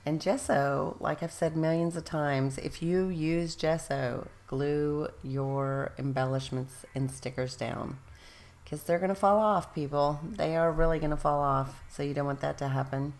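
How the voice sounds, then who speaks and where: Very low, gravelly woman with a strained voice. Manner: rambling, self-correcting, chatty. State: losing her voice, strained. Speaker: scrapbooking channel host. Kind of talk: casual voice-over narration of a craft process.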